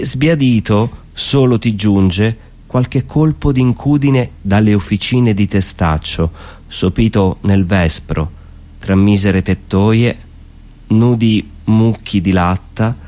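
A man reciting poetry in a solemn, dramatic style, delivering it phrase by phrase with measured pauses. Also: fairly low pitch, a little mellow. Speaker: poetry performer.

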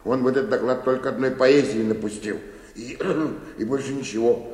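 A man's voice: mid-range voice, steady tone, moderate pace, darkish tone, close to an everyday voice. Grumpy old bookkeeper played by a man, echoing. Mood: sour muttering. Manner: muttering, grumbling, coughing and chuckling to himself. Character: grumpy, hot-tempered old male bank bookkeeper, worn out. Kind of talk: theatrical radio play acting. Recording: echoey, reverberant studio hall.

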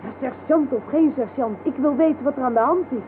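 A noisy, band-limited radio play recording of an actress playing a captive woman. She has a fairly high voice and speaks bluntly, frightened yet defiant. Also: a little fast, narrow, tinny audio, natural voice. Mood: bewildered, wary. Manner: blunt, informal, challenging.

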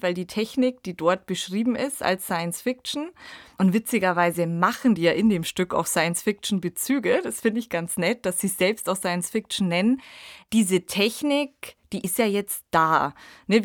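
A woman's voice: medium pitch, moderate pace, no echo, studio quality. A woman hosting a podcast, talking conversationally in a discussion. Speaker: podcast host.

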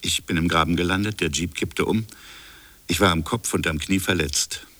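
Radio drama colonial official: low, brooding, insistent narrator of his own inquiry, close dry sound. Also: fairly even intonation, normal speed, sharp tone, dry recording. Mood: brooding, troubled. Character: colonial official recounting his stubborn private investigation. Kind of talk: radio drama dialogue and first-person narration.

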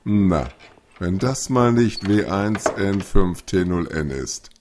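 A man's voice: low-pitched, animated, slow, background noise. Man acting a stern, menacing school principal. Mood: pointed, suspicious. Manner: authoritative, threatening, theatrical. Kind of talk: fan audio drama acting.

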